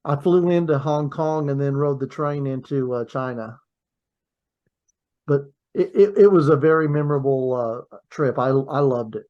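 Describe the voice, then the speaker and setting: A man hosting a podcast, chatting in a friendly, halting way and often repeating words. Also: mid-range voice, dull audio. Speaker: podcast host. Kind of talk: casual interview chat.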